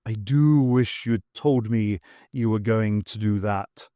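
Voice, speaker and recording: A man's voice: lowish voice, slow, dark tone, dry recording, voice pushed down. A man voicing a suave, sardonic male cat who talks in a purring, languid, teasing way.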